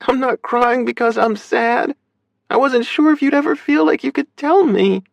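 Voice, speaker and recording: A man's voice: high voice, unhurried, gravelly, no room sound, dull audio, pitched above the performer's own voice. A man voicing a caring, frank adult big sister in an audiobook, speaking with earnest, reassuring emotion. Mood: tearful, gentle. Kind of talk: audiobook character dialogue.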